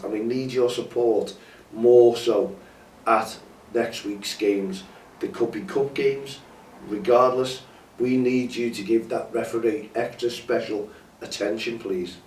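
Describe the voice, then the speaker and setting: A man's voice: on the low side, a little mellow, far from the mic, slight background noise. Congested man making an earnest appeal. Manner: earnest, pleading, repeats himself. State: bunged up with a cold and sore throat. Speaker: campaign host. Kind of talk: impassioned appeal to camera. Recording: echoey, mic some way off, faint ambience.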